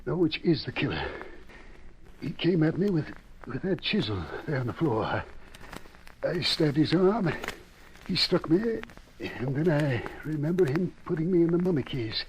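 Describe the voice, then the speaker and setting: A man in an old-time radio drama playing a weak, groggy, injured doctor speaking haltingly. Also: on the high side, lively intonation, close to an everyday voice. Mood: dazed, halting. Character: dazed, injured male doctor recalling an attack. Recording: noisy old radio recording.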